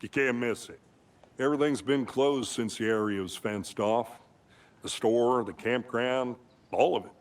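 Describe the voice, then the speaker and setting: A man's voice: medium pitch, a little slow, far from the mic, natural voice. A man playing a bumbling small-town deputy in a video game, folksy, hesitant and awkwardly polite. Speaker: sheriff's deputy. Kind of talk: comic-relief video game acting.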